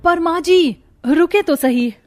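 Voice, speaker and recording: A woman's voice: extremely high pitch, slow pace. A woman voicing a responsible, protective elder sister-in-law who instructs the family in a cartoon story. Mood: nervous. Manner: gently instructing.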